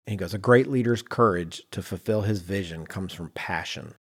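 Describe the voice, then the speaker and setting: A man's voice: deep voice, normal speed. A man hosting a podcast in a conversational, emphatic, self-deprecating way, moving between chat and read-out notes. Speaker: podcast host.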